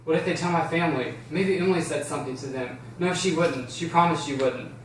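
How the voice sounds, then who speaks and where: A man's voice: on the high side, fast, natural voice. A man reading the part of an anxious, secretive teenage boy in an amateur drama table read. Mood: anxious, troubled. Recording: roomy, distant, faint noise.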